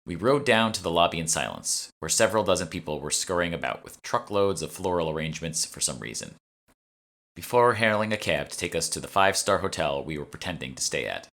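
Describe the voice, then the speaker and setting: A man narrates a novel as its author. His voice sits low, with a bright, forceful edge, as he reads scripted prose. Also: animated, fairly quick. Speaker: author reading own audiobook. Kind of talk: scripted narration, audiobook reading.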